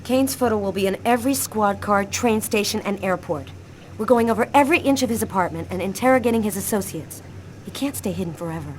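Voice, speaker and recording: An actress playing a serious, methodical police detective in a matter-of-fact way for a video game drama. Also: female voice, medium pitch, fast talker, in a large hall, slight background noise, close to an everyday voice. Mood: defensive, determined.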